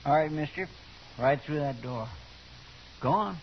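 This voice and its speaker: A high, swooping voice for an earnest frontier deputy, loyal, folksy and quick to get riled. The vintage radio recording is noisy and dull. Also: male voice, lively intonation. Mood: brusque. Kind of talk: 1950s radio western acting.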